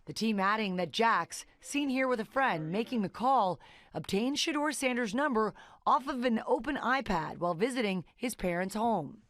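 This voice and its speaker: A woman's voice: on the high side, expressive, normal speed, fairly bright tone, dry recording. A woman with an American accent narrating a scripted television news report as a correspondent.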